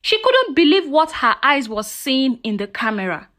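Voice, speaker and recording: A woman's voice: high voice, very animated, normal speed, a bit bright. A woman storyteller narrating a scripted folktale in a dramatic, earnest way, speaking directly to her listeners.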